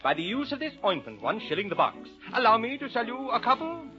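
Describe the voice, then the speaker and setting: A man's voice: very high-pitched, very animated, fairly quick, pitched above the performer's own voice. A man voicing a cheerful, boastful, eccentric old father, reciting storybook verse theatrically. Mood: breezy, boastful. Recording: dull lo-fi old soundtrack, faint music.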